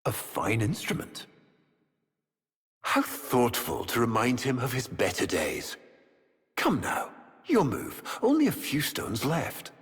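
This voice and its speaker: An actor playing a cruel, arrogant, ancient aristocratic villain in a video game, speaking in a deliberate, refined, menacing and taunting way. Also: male voice, mid-range voice, sing-song. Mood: mocking.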